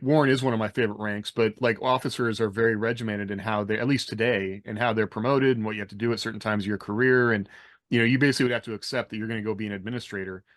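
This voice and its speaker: A man's voice: fairly low pitch, a bit bright, no room sound, studio quality. An American man co-hosting a podcast in a casual, rambling, anecdotal way, peppering his talk with "like". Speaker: podcast co-host. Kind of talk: casual chat about military life and fiction.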